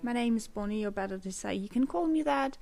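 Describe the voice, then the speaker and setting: A woman's voice: a little high, expressive, quick pace, creaky, a little mellow, faint music behind. A young woman from Germany with a German accent, rambling and laughing as she hosts an unscripted solo podcast from home on a condenser mic. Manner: rambling, self-deprecating, laughs often. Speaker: podcast host. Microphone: USB condenser microphone.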